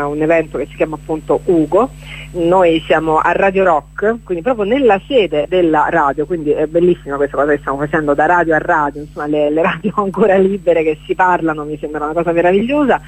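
A woman's voice: sits low, fairly quick, low-quality recording. A woman giving a chatty radio interview as a guest, speaking in broken, hesitant phrases and repeating words for emphasis. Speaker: radio guest, performer presenting a stage event.

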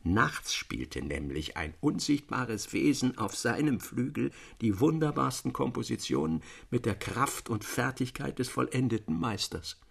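Thoughtful literary storyteller, husky, close dry sound, formal expressive narration, measured phrasing, eerie tales. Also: male voice, medium pitch, dry recording, exaggerated voice. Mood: hushed, awed.

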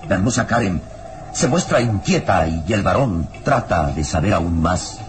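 A man's voice: low voice, big pitch swings, normal speed, background noise. A man performing as the solemn, suspenseful storyteller of an old adventure radio serial, with dramatic scripted narration.

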